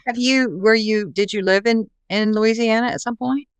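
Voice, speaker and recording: A woman's voice: fairly high pitch, normal speed, clear, resonant tone, no echo. A woman hosting a podcast, talking casually as she interviews a guest.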